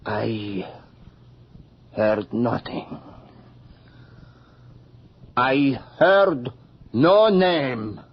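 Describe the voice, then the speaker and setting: An actor playing a pious, frightened, doubting villager with a hesitant, anxious manner in a radio horror drama. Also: male voice, medium pitch, very animated, drawn-out words, pauses often, heavily acted. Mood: doubtful, cowed. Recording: muffled, faintly noisy radio recording.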